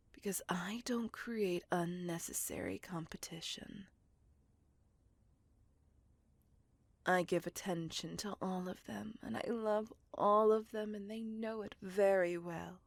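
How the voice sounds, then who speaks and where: A woman playing a seductive, possessive, coldly vengeful vampire in a slow, intimate, breathy whispered ASMR roleplay. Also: lowish voice, no room sound. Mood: proud, possessive. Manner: slow, intimate, deliberate, savouring words.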